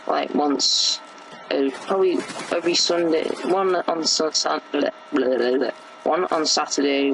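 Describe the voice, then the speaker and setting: Teen boy, sore gravelly throat, flat rambling game commentary, faint hiss behind. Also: medium pitch, poor audio. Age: teens. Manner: rambling, many fillers and restarts. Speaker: gameplay commentator. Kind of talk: casual gameplay commentary.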